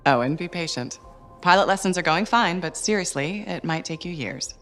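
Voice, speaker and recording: A level-headed female mercenary hero in a video game. Her voice is fairly low, with a casual, dryly humorous delivery, over faint music. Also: expressive, normal speed, a bit bright. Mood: wry. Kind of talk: video game cutscene acting.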